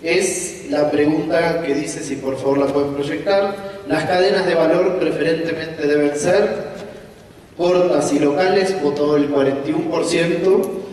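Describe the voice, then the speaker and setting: Panel moderator, man, slightly high voice, distant mic in a big reverberant room. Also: a little slow, darkish tone, a little hiss or hum. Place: large conference hall. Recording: echoey hall, voice from afar.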